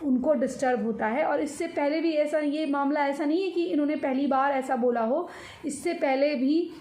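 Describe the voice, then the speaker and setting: A woman with an Indian accent giving informal news commentary, explaining in a halting, repetitive way and mixing in English words. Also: high voice, darkish tone. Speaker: commentator on social and religious news. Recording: echoey room with faint noise.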